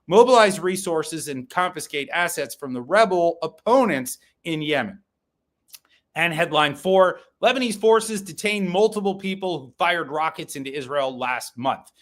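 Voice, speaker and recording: Guy reading news headlines, kinda high voice, quick opinionated asides. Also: fairly bright tone. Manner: brisk, opinionated commentary. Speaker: podcast host reading headlines. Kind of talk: news brief with personal asides.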